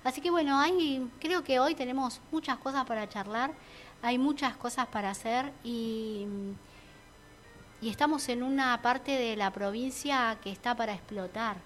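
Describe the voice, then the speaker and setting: Chatty Argentine woman, slightly high voice. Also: moderate pace, a bit bright, soft background music. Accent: Argentine Spanish. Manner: chatty, reflective, many fillers and restarts. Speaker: entrepreneur guest. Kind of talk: casual radio chat.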